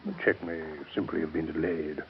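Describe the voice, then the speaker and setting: An actor playing a mild, cautious older uncle in a 1930s radio soap, speaking formally and with some hesitation. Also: male voice, rumbling low, fairly even intonation, a little fast. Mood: cautious, reassuring. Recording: dull, noisy 1930s radio recording.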